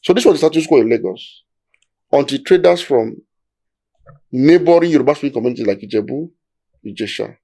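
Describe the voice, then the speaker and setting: A man's voice: mid-range voice, expressive, fairly quick, vocal fry, long pauses, warm tone. A Nigerian man with a Nigerian accent, explaining history in a discussion in an emphatic, lecturing way, building his points step by step.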